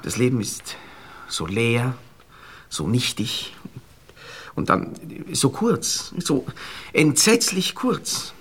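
An actor playing an ardent, nervous young Viennese gentleman in a radio drama, pleading effusively and haltingly with an Austrian accent. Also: mid-range voice, wildly swooping pitch, breathy edge, heavily acted. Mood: fervent pleading. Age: young adult.

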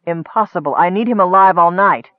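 A man's voice: high-pitched, brisk, dry recording, close to an everyday voice. A man voicing a cold, scheming male wizard who talks in a commanding, impatient way. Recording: tinny phone-like dry sound.

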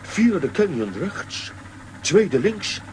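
A man's voice: sits high, very animated, extremely slow, slight background noise, exaggerated voice. A man playing a terse, matter-of-fact guide in a children's adventure audio drama. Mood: laconic.

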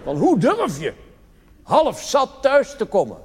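A man's voice: very high voice, wildly swooping pitch, slow, pitched above the performer's own voice, exaggerated voice. A man voicing an indignant, scolding wife of a drinking old man on a comedy stage. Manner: indignant, nagging. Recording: reverberant hall with noise.

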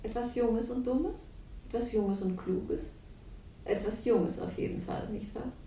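An actress playing a shrewd, commanding rich widow of seventy in a 1960s radio crime drama, probing and self-assured. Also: female voice, unhurried, mellow, a little hiss or hum. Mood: probing, amused. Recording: roomy, distant, rumbly old radio recording.

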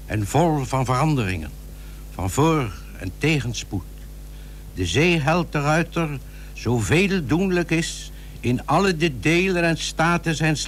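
A man reading formal, archaic narration as a radio play narrator, solemn and deliberate. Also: on the high side, fairly bright tone. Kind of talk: scripted narration of archaic biographical prose. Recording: hissy old radio play recording.

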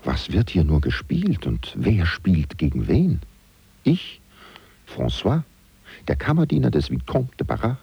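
An actor playing a wry, gossiping French valet who confides ironically in the listener as narrator of a German radio play. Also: male voice, low voice, very animated, a little slow, vocal fry, breathy edge, no room sound, lo-fi sound, full character voice. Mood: wry, bewildered. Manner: confiding, ironic, rhetorical questions.

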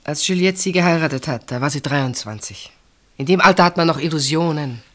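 A woman's voice: low-pitched, a little fast, close to an everyday voice. An actress playing a guarded sister-in-law in a German radio play, defending her sister. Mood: quietly reproachful.

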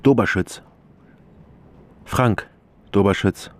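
A man's voice: on the low side, takes it slowly. An actor playing a laconic East German ex-policeman and private detective in a radio crime drama, terse and matter-of-fact. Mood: guarded. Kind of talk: radio crime drama, first-person narration and dialogue. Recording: music behind the voice.

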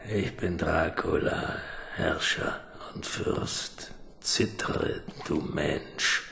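A very deep, gravelly vampire ruler hisses in a breathy half whisper. He is proud and menacing, dragging out his words in radio drama style. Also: drawn-out words, sharp tone, full character voice.

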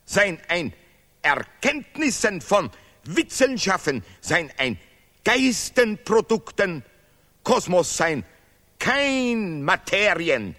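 An actor playing a stubborn, contemptuous Nobel laureate scholar in a stylized radio play, declaiming broken German in emphatic, repeated phrases. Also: male voice, high-pitched, sing-song, slow pace, sharp tone, in a large hall, full character voice. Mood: grand, boastful. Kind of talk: stylized avant-garde radio play acting.

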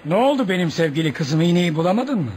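Pompous, high-pitched boastful father. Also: very animated, fast, darkish tone, soft background music. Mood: stern, mocking. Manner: pompous, theatrical. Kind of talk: Turkish radio theatre acting. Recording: noisy old radio drama recording.

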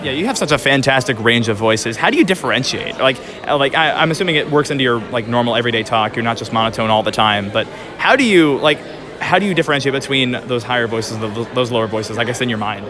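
A man's voice: medium pitch, lively intonation, fast talker. Man interviewing casually over crowd noise. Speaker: podcast interviewer. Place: convention exhibition hall. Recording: busy convention crowd behind the voice.

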